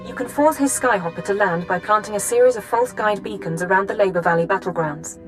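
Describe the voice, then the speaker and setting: A woman playing a composed intelligence chief in a video game, briefing with crisp, urgent authority. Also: medium pitch, fast talker, over music, close to an everyday voice. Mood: urgent, authoritative.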